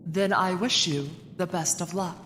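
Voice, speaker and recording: A woman's voice: fairly low pitch, measured pace, a bit bright. A woman playing a loyal, candid retainer in an audio drama, formal and dryly teasing. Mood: composed, teasing. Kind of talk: fan audio drama acting. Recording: reverberant hall, faint noise.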